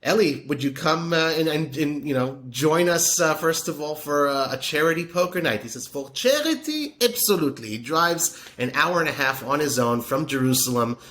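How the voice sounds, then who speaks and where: A man's voice: fairly high pitch, animated, moderate pace, hardly any pauses. A man, a podcaster telling a friendly story in a casual interview, rambling with many fillers and restarts. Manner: warm, admiring storyteller. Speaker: podcaster and poker media guest.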